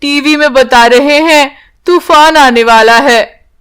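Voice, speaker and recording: An old village grandmother from a children's storybook played by a woman, speaking slowly. She is devout and tender, fretting over her family in a womanly, fairly high voice. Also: fairly bright tone. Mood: worried.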